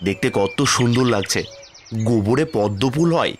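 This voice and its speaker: A man voicing a kindly, hardworking village father in a cartoon fairy tale, gentle and reassuring. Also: lowish voice, moderate pace, over music, lightly acted. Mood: surprised, kindly.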